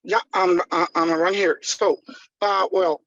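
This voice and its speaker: A man's voice: high-pitched, fairly even intonation, unhurried, a bit bright, no echo. A man from the Dominican Republic with a Dominican accent, a native Spanish speaker, joining a class over slightly dull call audio. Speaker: class participant.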